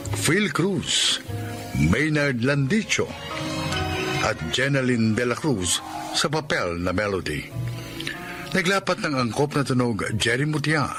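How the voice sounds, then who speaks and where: A man delivering scripted dramatic narration as a radio drama narrator, solemn and measured. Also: mid-range voice. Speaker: radio drama narrator reading closing credits.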